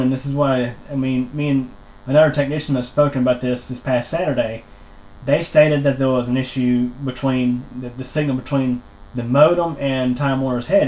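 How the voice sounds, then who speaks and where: A man explaining a technical complaint as a customer on a phone call, wordy and halting, often repeating himself. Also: mid-range voice, darkish tone. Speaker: customer calling internet support, channel host.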